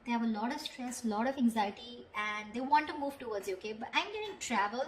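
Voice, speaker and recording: A woman's voice: on the high side, lively intonation, fairly quick. A woman giving an improvised tarot reading, talking directly to the listener in a conversational way and often checking in. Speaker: tarot reader. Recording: echoey room with faint background noise.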